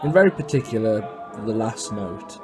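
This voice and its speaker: A man's voice: on the low side, expressive, normal speed. A man giving a reflective, personal voice-over narration. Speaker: documentary narrator and pantomime performer. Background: music.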